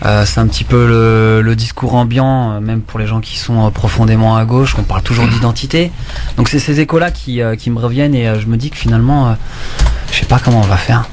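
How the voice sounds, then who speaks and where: A man's voice: medium pitch, steady tone, brisk, a little mellow, slight background noise. A man talking on a radio panel, hesitant and full of fillers. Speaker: show guest.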